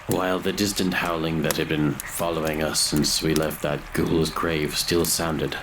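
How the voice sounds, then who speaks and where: A man playing a haunted grave robber who narrates a gothic horror audio drama in brooding, literary, measured phrases. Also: a bass voice, background noise. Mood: uneasy, wry. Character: haunted male grave robber narrating his grim confession.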